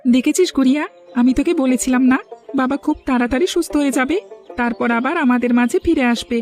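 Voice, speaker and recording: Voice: high-pitched, fast, a little mellow, soft background music, natural voice. A performer playing an earnest, protective young village boy, a big brother in a cartoon drama, speaking eagerly. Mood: eager, hopeful. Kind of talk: dramatic Bengali cartoon dialogue dubbing.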